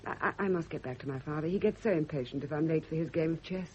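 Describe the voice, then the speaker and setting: Actress as a low-voiced, genteel, controlled confidante. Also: female voice, fast, darkish tone, close to an everyday voice. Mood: reassuring. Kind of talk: vintage radio drama acting. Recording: dull, hissy vintage radio recording.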